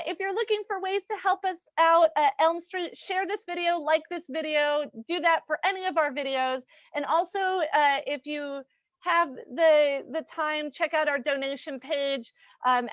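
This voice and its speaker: A woman's voice: high-pitched, a bit bright, dry recording, muffled recording. A woman hosting a livestream, casually thanking performers and viewers as she signs off. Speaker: host of the reading.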